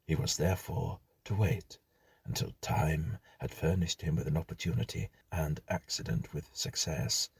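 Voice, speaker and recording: A man reading a gothic story as an audiobook narrator, in a gravelly, husky voice with a measured, dramatic, formal literary delivery. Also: rumbling low, no room sound.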